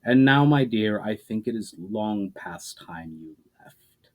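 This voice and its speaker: A man voicing a powerful, coolly patient adversary with measured, condescending menace in a fantasy story reading. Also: on the low side, animated, darkish tone. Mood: cool, final. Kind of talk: dramatic fantasy audiobook reading.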